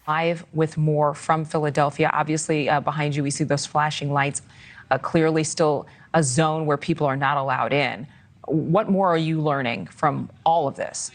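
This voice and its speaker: Low-voiced female news anchor. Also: steady tone, normal speed. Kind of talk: live news broadcast questioning.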